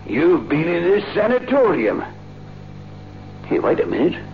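A man's voice: high voice, big pitch swings, unhurried, faint music behind, heavily acted. An actor playing an urgent, paternal man who reasons with a disturbed patient in a 1960s radio drama. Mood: insistent. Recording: dull old radio recording, faint noise.

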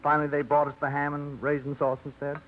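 A man's voice: fairly high pitch, monotone, quick pace, slight background noise, lightly acted. An actor playing a fussy, set-in-his-ways middle-aged bachelor who rambles with old-fashioned phrases, in a 1950s radio drama. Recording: muffled old radio broadcast.

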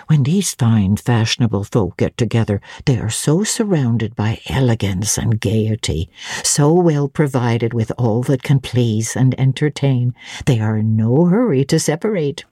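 A woman voicing a kindly, chatty elderly housekeeper in a dramatic audiobook reading, with a gentle, unhurried, gossipy manner. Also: rumbling low, lively intonation, slightly rough, no echo, studio quality, pitched below the performer's own voice, exaggerated voice. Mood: chatty, admiring.

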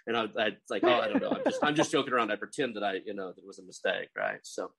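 A man's voice: lowish voice, rapid-fire, gravelly, fairly bright tone, breathy edge. A middle-aged man from Oklahoma with a light Oklahoma accent, hosting a casual interview podcast and rambling with chuckles and fillers. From Oklahoma, USA. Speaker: podcast host.

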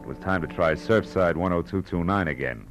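A man's voice: deep voice, a little fast, music in the background, natural voice. A man acting a hard-boiled, wisecracking private detective in a 1940s radio drama, with an American accent and a tough, clipped, sardonic delivery. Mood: sardonic narrating.

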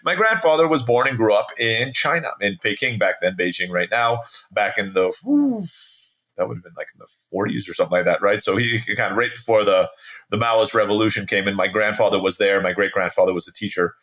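Bright-voiced American man in his forties. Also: fairly low pitch, animated, fairly quick. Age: 40s. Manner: conversational, peppered with "right?" tags. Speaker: interview guest. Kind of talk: casual personal storytelling.

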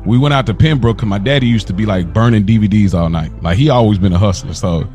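A young man co-hosting a podcast in casual chat, telling stories in an animated way and laughing often. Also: lowish voice, fast talker, faint music behind. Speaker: podcast co-host.

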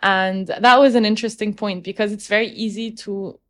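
A woman's voice: mid-range voice, steady tone, a little fast, a bit bright. A young woman from Egypt, a guest in an interview, speaking thoughtfully and often restarting her sentences. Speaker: guest, opera singer. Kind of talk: casual interview conversation.

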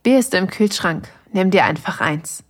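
A woman's voice: on the low side, animated, no echo, clean studio sound. An actress playing a playful, sensual woman on holiday, narrating intimately in an audio drama and slipping into whispers. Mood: casual. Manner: breathy, slow, sensual delivery slipping into whispers.

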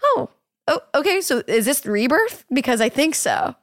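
A woman in her twenties hosting a podcast, chatty and encouraging, swearing casually in relaxed talk. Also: high-pitched, moderate pace, dry recording, studio quality. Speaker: podcast host.

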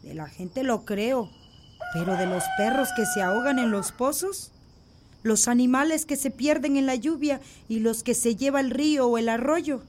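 A woman's voice: fairly high pitch, moderate pace, a little mellow, a little hiss or hum, close to an everyday voice. An actress playing a worried village mother in a radio drama, anxious and pleading.

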